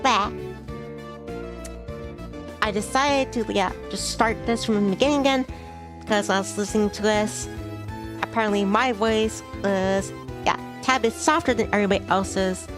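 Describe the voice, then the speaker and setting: A woman's voice: medium pitch, normal speed, pauses often. Chatty woman streamer. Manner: relaxed, chatty with viewers. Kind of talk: casual game stream chat. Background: game music.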